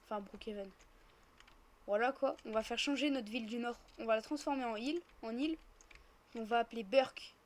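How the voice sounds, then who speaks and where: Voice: mid-range voice, a little fast. A female speaker, casually improvising a roleplay while gaming and chattily narrating each action. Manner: chatty, narrates own actions as they happen. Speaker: host of gaming roleplay videos.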